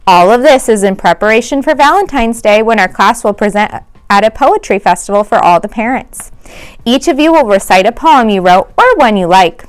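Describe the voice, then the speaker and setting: A woman's voice: a little high, expressive, moderate pace, clean tone, close to an everyday voice. Lady reading as a firm lady schoolteacher, instructive, orderly, storybook dialogue. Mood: firm instruction. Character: firm, orderly female classroom teacher.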